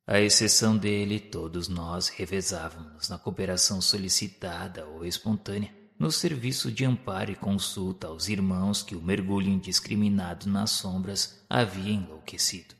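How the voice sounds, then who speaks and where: Solemn man narrating, very low voice, long reverb tail, measured pace. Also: creaky, a bit bright. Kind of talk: scripted literary narration.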